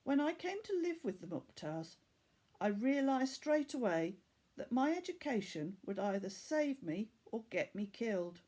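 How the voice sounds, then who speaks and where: A woman reading the part of a weary, earnest boy from Bangladesh in a storybook, telling his story in a measured way. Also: fairly high pitch, sing-song, mellow. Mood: earnest, confiding.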